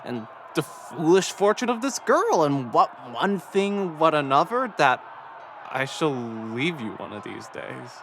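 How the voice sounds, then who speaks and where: A man's voice: a little high, very animated, a little slow, background noise. A man playing an ailing, fussy older go-between who grumbles and rambles in a Shakespearean audio drama. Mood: grumbling.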